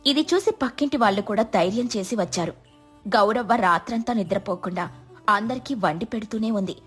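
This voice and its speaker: A woman's voice: mid-range voice, brisk, a bit bright, husky, soft background music. A woman storyteller giving scripted, dramatic narration of a village tale.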